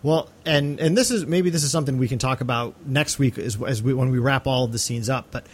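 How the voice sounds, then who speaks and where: A man's voice: medium pitch, brisk, husky. A man co-hosting a podcast, giving opinions in a casual, conversational film analysis. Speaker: podcast co-host.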